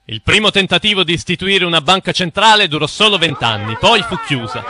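A man delivering dramatic scripted narration for an animated film. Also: sits high, brisk, music in the background.

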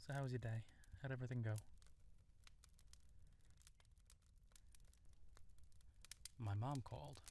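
A man acting as a gentle, affectionate young husband and new father in an intimate, one-sided audio roleplay, soft-spoken and tender. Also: mid-range voice, fairly even intonation, racing, creaky, slight background noise, lightly acted. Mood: caring. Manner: tender, soft-spoken, playful baby talk at times.

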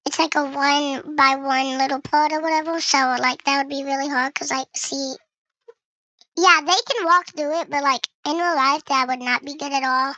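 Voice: high-pitched, fairly even intonation, moderate pace, bright, forceful tone, no echo, muffled recording. A young gamer giving casual gameplay commentary, with the voice run through a voice changer. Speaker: gaming video creator.

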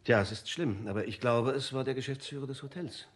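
A man's voice: mid-range voice, fairly quick, lightly acted. An actor playing a fussy, eager male witness in a German radio crime drama, telling his story circumstantially and dramatically. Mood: earnest, mysterious.

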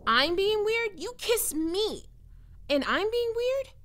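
A woman voicing a prickly, flustered young catgirl roommate in an intimate ASMR roleplay, halting and defensive but secretly soft. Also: very high voice, sing-song, heavily acted. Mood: flustered indignation.

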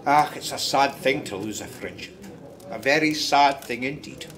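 An actor playing a wistful, gossipy Scottish narrator in a radio drama, musing conversationally with hesitant asides. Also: male voice, medium pitch, normal speed, a bit bright, natural voice. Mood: rueful, musing. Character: wistful, gossipy male Scottish storyteller, fond of his friend.

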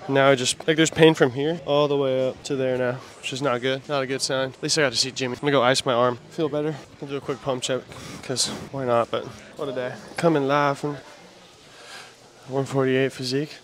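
A young man vlogging casually at a climbing gym, chatty and quick to hype others up, speaking into a handheld mic. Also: mid-range voice, steady tone. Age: young adult. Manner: fast, chatty, hyping up others. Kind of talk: casual vlog talk. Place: large indoor climbing gym.

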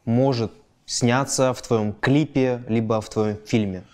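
Man asking measured interview questions. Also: medium pitch, steady tone, darkish tone. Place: high-rise apartment at night, indoors.

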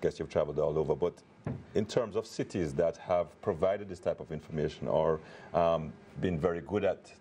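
A middle-aged man anchoring a TV news program, formal and brisk as he introduces and questions a guest. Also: extremely low pitch, warm tone, a little hiss or hum. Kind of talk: TV news presenting and interviewing. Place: TV news studio. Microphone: lapel mic.

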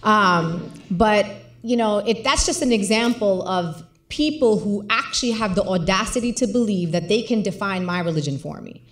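A woman's voice: medium pitch, animated, moderate pace, hardly any pauses, slight background noise. Impassioned woman in a roomy hall. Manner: impassioned, emphatic, gestures a lot. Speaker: guest speaker, organizer. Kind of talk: public conversation on stage. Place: large lecture hall with audience. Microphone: handheld mic.

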